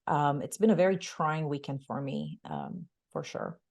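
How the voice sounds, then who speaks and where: Low-voiced woman from Israel speaking earnestly. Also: huge pitch swings, normal speed, a little mellow. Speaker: guest expert. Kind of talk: webinar talk.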